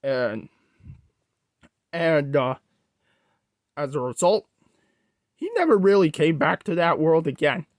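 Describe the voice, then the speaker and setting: Slow, halting storyteller, very dry sound. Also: mid-range voice, animated, no echo. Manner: halting, corrects own wording mid-sentence. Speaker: host, storyteller. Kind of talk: creepypasta storytelling narration.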